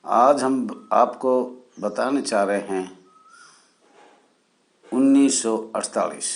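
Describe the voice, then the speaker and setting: Slow-talking man from India, lowish voice. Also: gravelly. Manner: deliberate, halting delivery in short phrases with formal honorifics. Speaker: channel host. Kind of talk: spoken history explainer for viewers.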